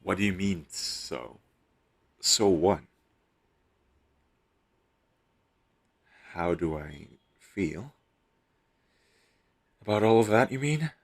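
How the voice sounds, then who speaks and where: A secretly heroic hostage acted by a man in an intimate audio roleplay. His voice sits very low, with heavy creak and a husky grain. He teases wryly and flirts coyly, leaving small hesitant gaps. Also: lively intonation, takes it slowly, long pauses, exaggerated voice. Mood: shy, tender. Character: captured superhero posing as a hostage, teasing, flirtatious, coy.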